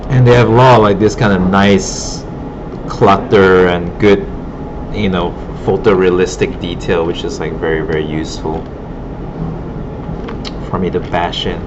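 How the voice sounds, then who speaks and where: Low-voiced man on muffled, noisy audio. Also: steady tone. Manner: rambling, halting, thinking aloud. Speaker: art teacher. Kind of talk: casual live demo commentary. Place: classroom. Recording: muffled broken audio, loud room noise.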